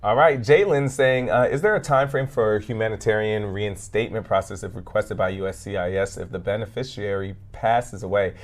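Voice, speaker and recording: Male co-host, casual reader, quick banter. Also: fairly low pitch. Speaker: co-host reading questions. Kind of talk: reading viewer questions aloud.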